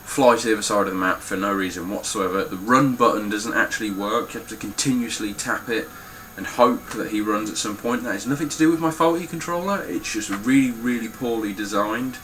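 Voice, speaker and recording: A man's voice: on the low side, brisk, few pauses, a bit bright, a little hiss or hum. A man with a stuffy, nasal voice giving a casual, blunt spoken review of his game collection, with frequent ums and some swearing.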